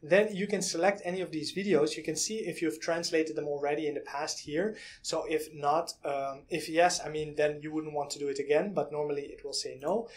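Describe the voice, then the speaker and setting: A man in his thirties talks through a software demo in plain, steady steps, pausing often on "uh" and "um". His voice sits a touch high for a man. He records in a small room on a desk webcam. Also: a little hiss or hum. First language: Dutch. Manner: explanatory, step-by-step, with frequent "uh" and "um". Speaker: product reviewer. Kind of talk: screen-share software walkthrough.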